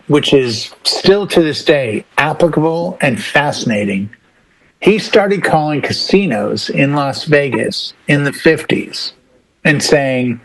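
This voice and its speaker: A man chatting casually as a panelist on a talk show, telling anecdotes in an animated way and acting out quoted dialogue. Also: medium pitch, low-quality recording.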